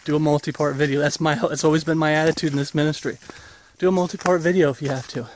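A man's voice: a little high, fairly even intonation, quick pace, a little hiss or hum. A man in his forties, a ministry leader, gives a rambling, earnest walk-and-talk update while walking outdoors on a wooded path, filmed on a handheld camera at arm's length. He is getting over an illness. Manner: casual, rambling, earnest preaching tone.

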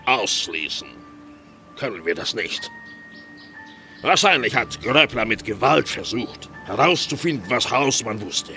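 Actor as a gravelly, piercing, scheming gang boss. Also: male voice, mid-range voice, lively intonation, unhurried, pushed and bright, soft background music. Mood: brooding. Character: hot-tempered, greedy gang leader, scheming and menacing. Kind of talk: audio drama acting.

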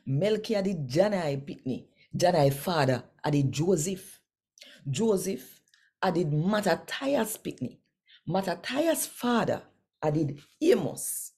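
An older woman with a Jamaican accent reading scripture in Patois in a measured, deliberate way. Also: on the low side, a little mellow, breathy edge. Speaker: Bible reader and presenter. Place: small home room, indoors.

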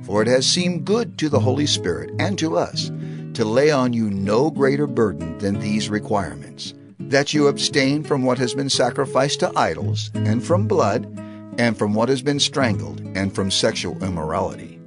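An actor playing an earnest, authoritative church elder in a dramatized scripture reading, with declamatory, measured phrasing. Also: male voice, medium pitch, lively intonation, music in the background, lightly acted. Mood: formal, earnest.

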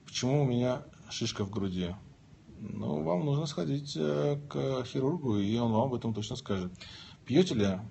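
A man's voice: mid-range voice, lively intonation, moderate pace, creaky, dark tone, breathy edge. Man chatting casually on a phone livestream. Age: 30s. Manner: joking, reads questions aloud then answers them. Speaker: livestream host. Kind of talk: casual livestream chat reading viewer questions. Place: lying in bed indoors. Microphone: phone held at arm's length.

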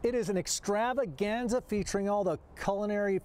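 A high-voiced male TV reporter on a live outdoor segment, talking fast and upbeat into a handheld mic. A faint hum of open-air ambience sits behind him. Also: a little mellow. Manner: upbeat and chatty, quick follow-up questions. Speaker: TV morning show reporter. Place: outdoor patio set with cooking tables. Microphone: handheld station microphone.

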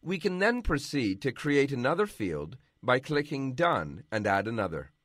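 Guy doing a software how-to voiceover, bouncy intonation, dry close mic. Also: medium pitch, very animated, dry recording. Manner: measured, instructional. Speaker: software tutorial narrator. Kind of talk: scripted narration.

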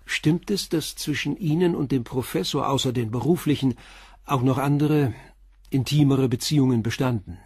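Probing, composed police commissioner. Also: male voice, mid-range voice, a little mellow, slightly rough, no echo, studio quality. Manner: probing, measured questioning. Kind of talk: German crime radio play dialogue.

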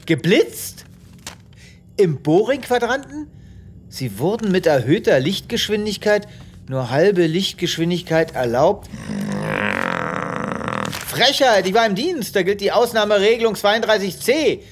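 An actor playing a pompous, indignant space veterinarian in an audio drama, grumbling theatrically. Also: male voice, high-pitched, lively intonation, measured pace, pauses often, slight background noise.

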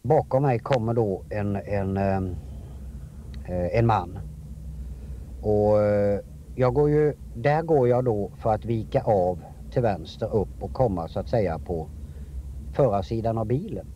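A man with a lowish, very mellow, dark voice answers as an interviewed witness. He recounts haltingly and repeats words to stress a point, over faint background noise. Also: muffled recording. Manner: hesitant, repeats words for emphasis. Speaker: interviewed eyewitness.

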